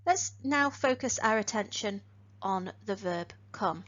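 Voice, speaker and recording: A woman teaching phrasal verbs in an online lesson. She speaks in a clear, deliberate way and lifts each new phrasal verb. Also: mid-range voice, lively intonation, fairly bright tone, highs cut off. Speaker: English teacher.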